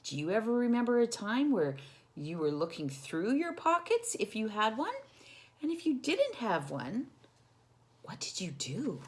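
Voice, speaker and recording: A woman reading a picture book as a storytime host, animated and addressing young children directly with questions. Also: a little high, unhurried.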